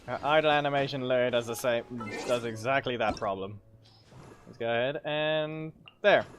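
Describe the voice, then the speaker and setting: A man's voice: mid-range voice, normal speed, a bit bright. A man giving casual, offhand gameplay commentary as a streamer, often repeating himself, with game music behind him. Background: faint game music.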